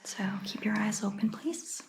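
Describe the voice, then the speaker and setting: A woman performing a soft-spoken ASMR roleplay as a caring vampire doctor, whispering breathily in a gentle, reassuring manner. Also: medium pitch, expressive. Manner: gentle, reassuring, slow and deliberate.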